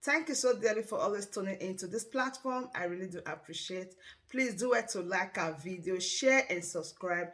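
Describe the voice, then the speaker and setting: A woman's voice: medium pitch, normal speed, a bit bright. A woman hosting a video channel, greeting viewers and introducing the clip with sing-song emphasis. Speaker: channel host.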